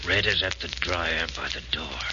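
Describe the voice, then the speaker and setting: An actor playing a tough, bossy convict leading a breakout in 1940s radio style, barking terse gangster orders. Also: male voice, rumbling low, normal speed, very noisy. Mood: hushed, menacing. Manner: terse, commanding gangster talk. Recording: muffled old radio recording with music.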